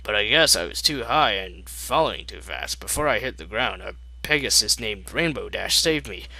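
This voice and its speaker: A man voicing a nervous, excitable and apologetic male mech in a fan story, blurting his words. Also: lowish voice, normal speed, creaky, no room sound, exaggerated voice, rough recording. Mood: confessing.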